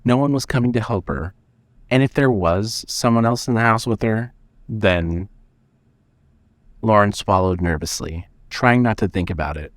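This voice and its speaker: A man's voice: lowish voice, normal speed, dry recording. A man narrating scripted suspense fiction as the storyteller of an audio drama.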